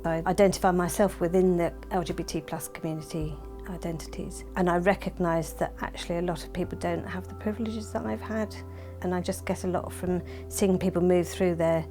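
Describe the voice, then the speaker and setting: Female, personal testimony, reflective, lower register, music bed.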